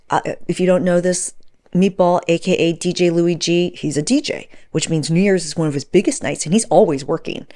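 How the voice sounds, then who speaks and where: A woman's voice: on the low side, mellow. A woman of forty hosting a podcast, talking informally and emphatically to her audience as she tells personal stories. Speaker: podcast host. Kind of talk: conversational solo monologue to audience, storytelling.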